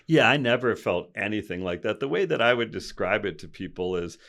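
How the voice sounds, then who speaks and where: A man in his fifties with a deep voice, a podcast guest speaking candidly and reflectively, often pausing to restart his sentences. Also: lively intonation, vocal fry, fairly bright tone. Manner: reflective, open, with halting restarts. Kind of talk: candid conversational storytelling.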